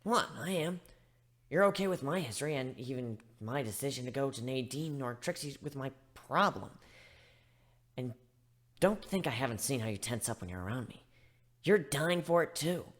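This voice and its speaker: A man's voice: mid-range voice, animated, moderate pace, fairly bright tone, pitched below the performer's own voice, natural voice. A man voicing a frank, persuasive female griffin in a dramatic story reading, blunt and coaxing.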